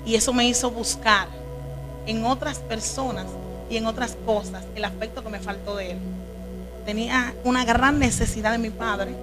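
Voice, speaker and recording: A woman's voice: a little high, steady tone, normal speed, over music. A woman from the Dominican Republic with a Dominican accent, a preacher telling her life story in an interview, earnest and emotional. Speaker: guest, preacher. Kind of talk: testimony interview.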